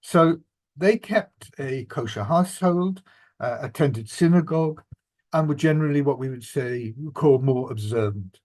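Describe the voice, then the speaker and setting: An older man giving an illustrated family history talk as the presenter and author, speaking in a measured, reflective way with occasional fillers. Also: medium pitch, a little mellow.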